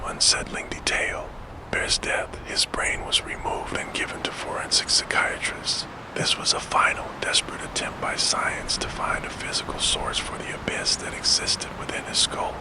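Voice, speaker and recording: A man narrating true crime in a soft, fully unvoiced ASMR whisper, slow and measured, close to the microphone. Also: low-quality recording.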